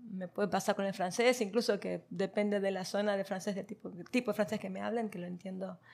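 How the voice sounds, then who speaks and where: A woman's voice: mid-range voice, steady tone, a little fast, gravelly, a little mellow. A woman from Argentina, a guest in an interview, speaking reflectively with many fillers and light laughs. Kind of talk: conversational interview.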